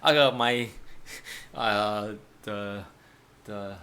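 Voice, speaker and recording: A man's voice: on the low side, drawn-out words, sharp tone. Man, 30s, native Chinese speaker, art teacher, casual chat, restarts a lot, laughs. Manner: rambling, restarts, laughs often. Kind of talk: casual chat while drawing.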